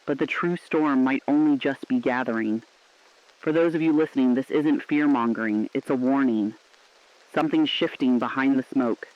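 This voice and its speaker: A man's voice: mid-range voice, normal speed, lightly acted. A man playing an earnest, defiant radio correspondent in a shelter, giving a solemn, dramatic broadcast on a dull, dry recording. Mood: ominous.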